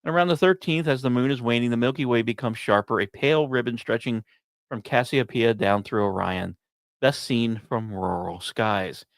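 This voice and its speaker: A man hosting a podcast, reading scripted science narration with casual asides and dramatic, storyteller-like phrasing broken by deliberate pauses. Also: medium pitch, no echo. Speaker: podcast host.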